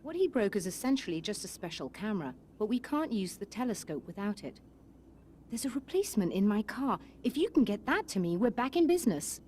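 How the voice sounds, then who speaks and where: A woman playing an intelligent scientist in a thriller game, explaining things in an earnest, articulate, brisk manner. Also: mid-range voice, big pitch swings, dark tone, a little hiss or hum, lightly acted. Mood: concerned, focused. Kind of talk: dramatic game acting.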